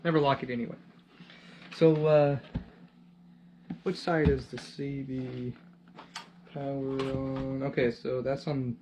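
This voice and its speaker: A man's voice: mid-range voice, flat delivery, slow, long pauses. A man giving casual hands-on commentary as he works, thinking aloud in muttered fragments. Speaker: DIY vlogger. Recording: noisy, dull lo-fi camera audio with clicks.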